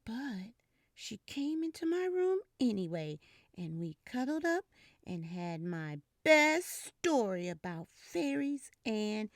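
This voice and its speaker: A woman hosting children's storytime, reading a picture book aloud in an expressive, animated way with breathy whispered stretches. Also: a little high, slow, dry recording, clean studio sound.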